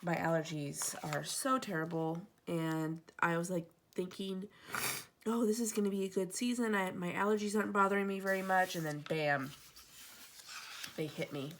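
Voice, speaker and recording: Casual home vlogger, woman in her thirties; gravelly, slightly stuffy, wanders off topic. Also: lowish voice, fairly even intonation, normal speed, slight background noise. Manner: chatty, rambling, digresses often. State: stuffy from allergies. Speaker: planner vlogger. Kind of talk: casual chat while decorating a planner. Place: home, indoors.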